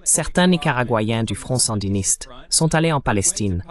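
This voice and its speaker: A man with a mid-pitched voice speaks as an interviewed commentator in a dubbed programme. His delivery is measured and didactic, with emphasis placed on key points. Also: lively intonation.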